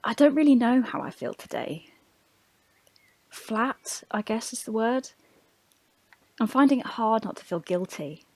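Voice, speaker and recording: A woman acting a restless, guilt-ridden diarist in a confessional monologue, subdued and halting. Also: on the high side, lively intonation, darkish tone, no echo. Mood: flat, guilty. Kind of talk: acted confessional diary monologue.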